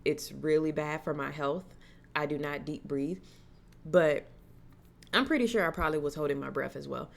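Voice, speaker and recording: A young woman vlogger telling a personal story in a casual, animated way, slipping into the voices of the people she quotes. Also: deep voice, moderate pace, gravelly. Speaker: horror vlogger.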